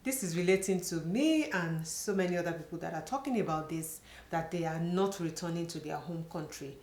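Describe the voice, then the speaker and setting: A woman's voice: lowish voice, fairly quick, darkish tone. A middle-aged woman from Nigeria with a Nigerian accent, telling her own story to her channel in an earnest, chatty way, often saying "you know". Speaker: vlogger. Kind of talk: personal storytelling to camera. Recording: echoey room.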